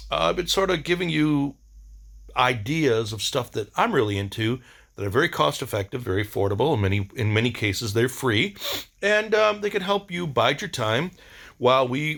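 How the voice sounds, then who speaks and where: A middle-aged man talking casually in a rambling video diary, halting and slangy, with laid-back enthusiasm. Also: medium pitch, creaky, a bit bright. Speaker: vlogger.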